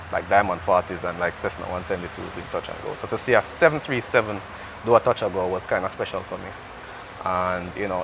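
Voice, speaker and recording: A man's voice: lowish voice, a bit bright, a little hiss or hum, poor audio. A Jamaican man speaking as an interview guest in casual, conversational talk, often restarting his sentences. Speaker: interview guest, air traffic controller.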